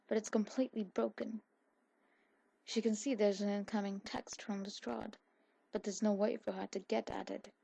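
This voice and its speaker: A woman with a husky voice reading a scripted story aloud in an ASMR style. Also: medium pitch, warm tone, low-quality recording. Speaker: fan fiction audiobook narrator.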